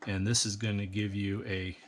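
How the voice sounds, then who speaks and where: A man calmly explaining a hands-on build step by step, in a practical, methodical way. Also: lowish voice, normal speed, a little hiss or hum. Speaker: instructor. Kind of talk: step-by-step how-to instruction.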